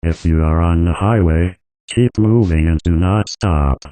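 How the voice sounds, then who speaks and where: A man delivers a scripted emergency alert in a deep voice. His delivery is formal, flat and authoritative, with a subdued, dark tone. The sound is very dry, with no room to it. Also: unhurried, dry recording. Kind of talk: scripted emergency broadcast narration.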